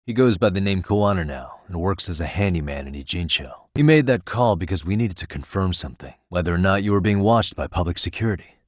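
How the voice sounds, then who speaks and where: Video game private eye, thirties, deep register, level-headed, businesslike and determined, dry studio sound. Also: male voice, expressive, quick pace, few pauses, no room sound. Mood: matter-of-fact, serious. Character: shrewd, level-headed male private detective in his thirties. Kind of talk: English video game voice acting.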